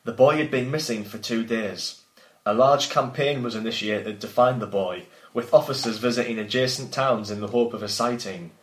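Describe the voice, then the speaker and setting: A man's voice: medium pitch, normal speed. A man reading his own story aloud, breaking off now and then to banter. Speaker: podcast host and author reading own work. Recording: roomy echoing sound.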